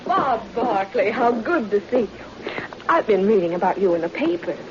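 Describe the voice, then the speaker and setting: A woman's voice: on the high side, very animated, normal speed. An actress playing a poised, dramatic leading lady in a 1940s radio espionage drama. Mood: gushing, sweet. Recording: muffled, noisy old radio recording.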